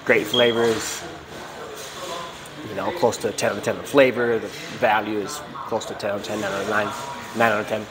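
A man's voice: fairly low pitch, normal speed, crowd noise, low-quality recording. An American man in his thirties, vlogging casually to a handheld camera inside a small restaurant. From United States. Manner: relaxed, chatty, gestures as he talks. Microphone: camera held at arm's length.

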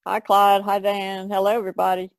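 Gravelly-voiced woman, plain and halting. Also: mid-range voice, monotone, dry recording. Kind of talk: casual video-call chat.

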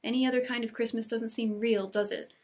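A woman's voice: fairly high pitch, steady tone, brisk, a little mellow, lightly acted. A woman voicing an effusive, romantic young girl in a storybook read-aloud, full of earnest exclamations. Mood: delighted. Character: excitable, dreamy, romantic young girl, gushing with delight.

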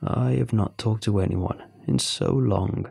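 A man voicing a stranded male alien in an audiobook-style story, with a deep, gravelly voice and slow, halting, deliberate delivery. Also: dark tone, heavily acted. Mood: resigned, wry. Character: stranded male alien, weary, hopeful, wryly amused.

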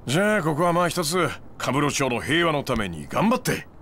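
A man's voice: a little high, very animated, fairly quick, fairly bright tone, a little hiss or hum. Smug, laid-back moneylender guy. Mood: casual, approving. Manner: glib, needling, casual lecturing. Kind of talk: video game cutscene acting.

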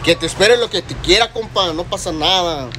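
Mexican man, higher-pitched, noisy phone video. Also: normal speed. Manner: slangy, with catchphrases and repeated appeals to followers. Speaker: social media lottery scratcher promoter. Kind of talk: casual selfie vlog chat. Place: small liquor store, indoors. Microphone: phone at arm's length. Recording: noisy store, phone recording.

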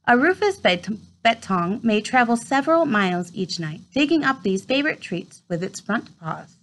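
A woman in her thirties reading a children's nature book aloud in an expressive storytime manner, recorded at home. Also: a little high, normal speed, rough recording. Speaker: read-aloud host. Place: small room at home, indoors.

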